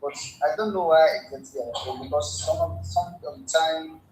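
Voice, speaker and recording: A man's voice: on the high side, fairly quick. Nigerian man, hesitant interview answers. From northern Nigeria. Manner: hesitant, repeats and corrects himself. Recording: echoey room with faint background noise.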